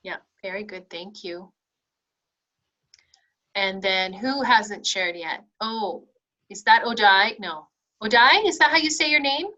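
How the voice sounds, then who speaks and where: A woman's voice: medium pitch, takes it slowly, pauses often, pushed and bright, muffled recording. A woman facilitating a group discussion, encouraging and affirming each person who shares. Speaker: meeting facilitator.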